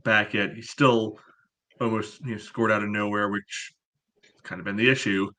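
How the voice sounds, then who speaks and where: A man on a casual sports podcast panel, talking in a halting way full of verbal tics. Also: lowish voice, fairly even intonation, pushed and bright. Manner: halting, peppered with "you know".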